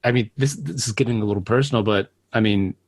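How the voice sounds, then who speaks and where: A man's voice: fairly low pitch, fast talker, dry recording. A man co-hosting a podcast interview, chatting loosely and rambling with lots of filler words. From United States. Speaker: podcast co-host. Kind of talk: casual interview chat.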